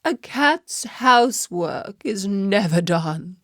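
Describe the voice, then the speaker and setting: A woman's voice: medium pitch, expressive, takes it slowly, a bit bright, dry recording, studio quality, full character voice. A woman voicing a world-weary, slyly evasive male house cat in a storybook, drawling and put-upon.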